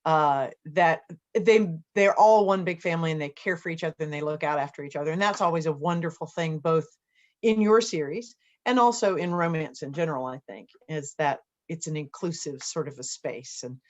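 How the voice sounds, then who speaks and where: A woman's voice: sits low, normal speed. A woman hosting a casual interview, chatting in a friendly, appreciative way. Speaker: interview host.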